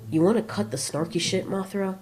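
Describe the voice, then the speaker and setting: A woman's voice: mid-range voice, fairly quick, music in the background, close to an everyday voice. A woman voicing a crude, grumpy male giant monster in a comic audio drama, blunt, taunting and sarcastic. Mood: sullen, snide.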